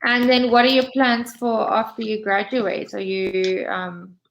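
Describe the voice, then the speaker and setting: A woman's voice: mid-range voice, level tone, unhurried, vocal fry, fairly bright tone, a little hiss or hum, rough recording. A woman with a South African accent, chatting in a friendly way as an English tutor over a video call and asking lots of follow-up questions. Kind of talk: casual conversation practice.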